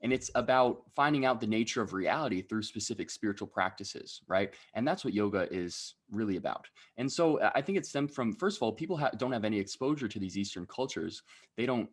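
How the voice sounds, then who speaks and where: Laid-back young man, low voice. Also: brisk, slightly rough. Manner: relaxed explaining, frequent tag questions. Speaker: podcast guest. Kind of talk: casual interview.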